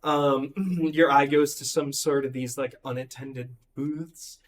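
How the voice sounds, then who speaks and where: A hoarse man losing his voice, running a tabletop game and narrating with many ums and restarts. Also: medium pitch, steady tone. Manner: halting, full of ums and likes. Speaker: game master.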